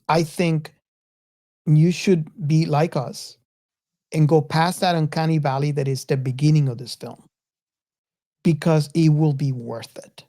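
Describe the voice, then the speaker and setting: A woman hosting a reflective film discussion, speaking haltingly with repeated words and emphatic stretches. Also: deep voice, mellow. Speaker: podcast host.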